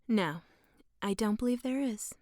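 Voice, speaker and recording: A woman's voice: on the high side, unhurried, darkish tone, lightly acted. A woman playing a villain's obedient henchwoman in an audio drama.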